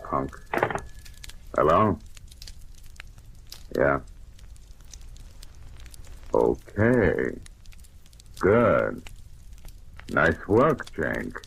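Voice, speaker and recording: A man's voice: rumbling low, creaky, a bit bright, slightly rough, heavily acted. An actor playing a cold, threatening gangster boss in old radio crime drama, talking in clipped tough-guy slang. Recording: noisy old radio recording.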